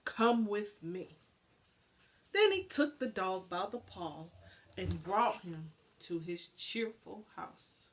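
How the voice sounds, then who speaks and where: A woman narrating a children's storybook aloud in an expressive style. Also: lowish voice, slow pace. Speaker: storybook reader.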